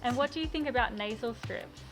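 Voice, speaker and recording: A woman in her twenties giving lively, playful interview answers and laughing often. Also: fairly high pitch, fairly quick, recorded from a distance, soft background music, rough recording. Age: 20s.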